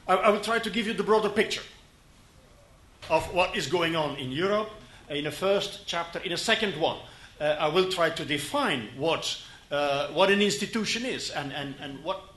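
A Flemish-accented man in his fifties presents at a conference podium, with a fairly high and bright voice. He talks haltingly and often restarts his phrases. The hall around him rings with echo. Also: animated. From Belgium. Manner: halting, many fillers and restarts. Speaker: conference speaker. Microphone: podium microphone.

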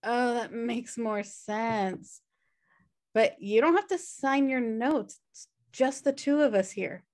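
A woman's voice: fairly high pitch, slow pace, a little mellow. A woman playing a blunt, sarcastic, slobbish sister in a comedy table read. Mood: unbothered. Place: indoor room on a video call. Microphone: video call.

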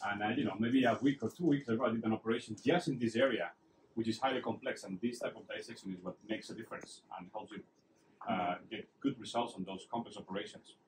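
A man's voice: lowish voice, slight background noise, low-quality recording. Enthusiastic middle-aged neurosurgeon man. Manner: enthusiastic, praising, halting with fillers. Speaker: neurosurgeon giving a lab tour. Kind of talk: casual guided tour. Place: anatomy lab.

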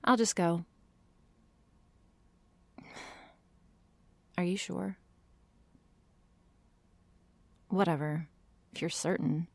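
A woman's voice: fairly low pitch, gravelly, dry recording. A woman playing a prickly, sarcastic but secretly shy vampire girl in an ASMR roleplay, hesitant and sighing, often dropping to a whisper. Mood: dry, reluctant. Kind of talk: ASMR roleplay addressed to the listener.

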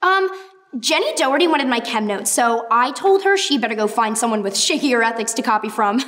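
A woman's voice: on the high side, lively intonation, brisk, a bit bright, roomy sound, in a large hall, recorded from a distance. A woman playing a defiant, emphatic teenage girl inventor in a radio play. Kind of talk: radio drama acting.

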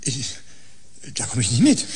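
Animated, affable male colleague. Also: mid-range voice, unhurried, slightly rough, heavily acted. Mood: flirtatious. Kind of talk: German science-fiction radio drama acting.

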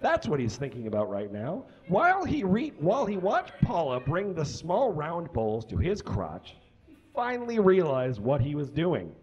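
Male comic reader, youngish, dramatic delivery with big swings in pitch, slightly dull live recording. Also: wildly swooping pitch, normal speed, a little mellow, husky. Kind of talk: theatrical comic reading of a story aloud. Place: small indoor stage with curtains and brick.

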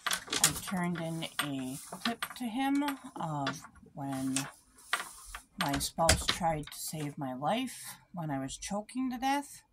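A middle-aged woman speaking in a polite, deliberate, earnest way, as if giving a formal statement or phone message. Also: low voice, very animated, very noisy. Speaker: vlogger making a phone call. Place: small room indoors.

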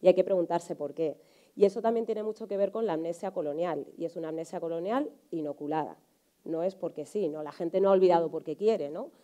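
A woman in her thirties speaks with a Madrid Spanish accent in a relaxed, somewhat low voice. She explains her points as a panelist in conversation, punctuating them with little tag questions. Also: fairly quick. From Spain, Madrid area. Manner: explanatory, frequent rhetorical tags seeking agreement. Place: indoor auditorium stage, seated on a panel.

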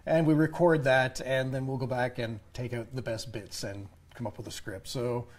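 Mid-pitched man in his thirties. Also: fairly even intonation, moderate pace. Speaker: comedy troupe member, interview guest. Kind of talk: casual interview chat. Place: TV studio, standing.

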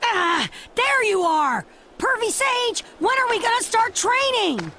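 A woman voicing a brash, stubborn, loyal teenage boy in an American-accented anime dub, with a raspy edge. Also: very high voice, big pitch swings, a little slow, noisy background, full character voice. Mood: eager, curious. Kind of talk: English anime dub acting. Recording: music behind the voice.

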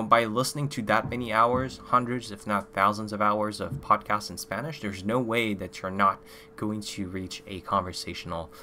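A young man talks straight to camera on a desk mic, loose and rambling, leaning on filler words. His voice sits a little low but sounds bright and forward. A faint hum runs under the recording. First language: French. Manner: loose, filler-heavy, with many "like" and "you know". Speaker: language-learning YouTuber. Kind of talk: casual review to camera. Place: small room indoors.

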